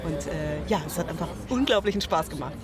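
A woman's voice: deep voice, expressive, a little fast. Casual woman amid crowd chatter. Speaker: interviewee. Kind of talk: casual chat. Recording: crowd chatter at busy event.

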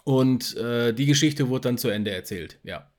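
A man's voice: mid-range voice, a little slow, a little mellow. A man co-hosting a podcast, talking in a casual, conversational way. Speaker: podcast host. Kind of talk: casual chat about audio dramas.

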